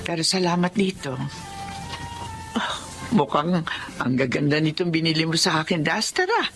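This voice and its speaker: Bright, animated elderly Filipino mother. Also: low-pitched, normal speed, faint music behind. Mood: touched, forgiving. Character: elderly wronged mother, self-sacrificing plain housewife. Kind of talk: Filipino radio drama acting.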